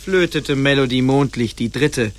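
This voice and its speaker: A man's voice: medium pitch, moderate pace, slight background noise, natural voice. A man narrating a radio play in a scripted storytelling style.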